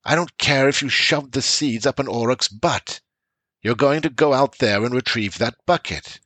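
A man's voice: medium pitch, moderate pace, fairly bright tone, husky, no room sound, pitched above the performer's own voice. A man voicing a stern village elder who gives orders.

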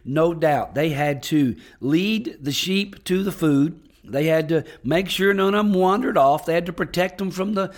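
A man preaching as pastor on a podcast, hoarse and raspy from losing his voice, talking in a folksy, enthusiastic way with frequent restarts. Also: on the high side, unhurried. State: hoarse, lost voice. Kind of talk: podcast sermon.

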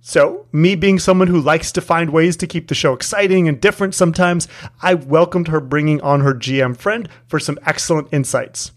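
A man's voice: a little high, normal speed. A man speaking as the host of an interview podcast. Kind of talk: interview and scripted introduction.

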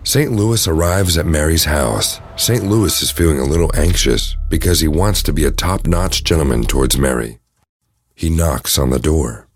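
A man's voice: rumbling low, moderate pace, no echo. A man reading scripted narration for an audio drama.

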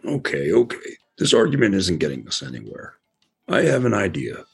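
A man voicing a sensible, patient male elephant in a children's cartoon, explaining things calmly. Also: low voice, normal speed, creaky, slightly rough, full character voice. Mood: bargaining. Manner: patient, explaining, mildly fussy.

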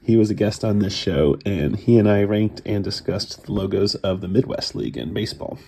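A man's voice: lowish voice, moderate pace, creaky, warm tone, slight background noise. A man from Cincinnati hosting a solo podcast in a casual, chatty way, sounding groggy from a cold. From Cincinnati, USA. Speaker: podcast host.

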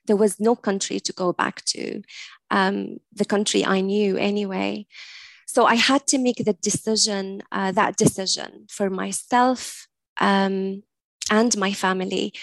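A woman in her forties from Syria, an academic guest in a podcast interview, speaking in a thoughtful, measured way with frequent ums. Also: mid-range voice, dry recording. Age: 40s.

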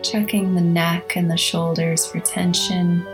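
A woman hosting a guided meditation, speaking in a soothing, measured way with long pauses between short phrases. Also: fairly low pitch, flat delivery. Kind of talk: slow guided meditation narration. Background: instrumental music.

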